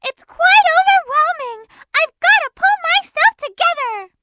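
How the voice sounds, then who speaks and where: A woman's voice: very high-pitched, expressive, measured pace, bright, forceful tone, no room sound, clean studio sound, heavily acted. A woman voicing a polite, timid little rabbit girl in a video game, hesitant and childlike. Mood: worried. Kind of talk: video game character voice lines.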